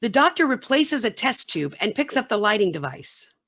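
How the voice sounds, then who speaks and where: A woman's voice: fairly high pitch, big pitch swings, normal speed, fairly bright tone. A woman reading scripted narration for a radio play.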